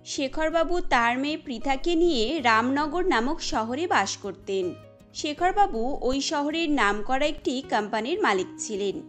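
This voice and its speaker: A woman narrates a cartoon story in a high voice and reads it evenly and plainly. Music plays softly behind her. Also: lively intonation, a little slow.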